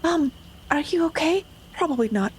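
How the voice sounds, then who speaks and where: A woman's voice: high voice, expressive, moderate pace. A woman playing a tabletop role-playing game, describing and speaking for her character in a casual way. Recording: faint background noise.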